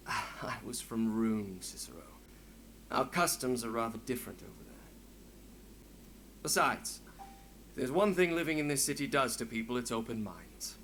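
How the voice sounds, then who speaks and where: An actor playing a genial, open-minded old friend in a video game, wry and conversational with ornate wording. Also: male voice, mid-range voice, animated, normal speed, long pauses, a bit bright, a little hiss or hum, lightly acted.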